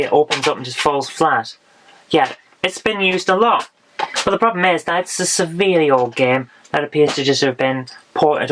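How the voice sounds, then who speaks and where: A woman, hoarse from losing her voice, chatting frankly through a haul of retro games with dry asides. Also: sits low, a little hiss or hum, low-quality recording. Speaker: retro game vlogger. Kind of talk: casual game haul review.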